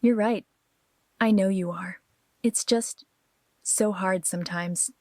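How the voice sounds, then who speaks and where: Woman acting as conflicted mother, medium pitch, guarded but vulnerable, dry recording, audio drama dialogue. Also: steady tone, slow, dry recording, natural voice. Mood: guilty, vulnerable.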